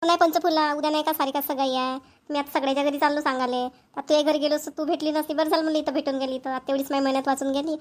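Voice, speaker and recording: A woman playing a busy middle-aged village mother in a cartoon, hurried and matter-of-fact, with a Vidarbha Marathi accent. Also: sits high, steady tone, natural voice. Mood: hurried, businesslike. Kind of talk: amateur cartoon voice acting.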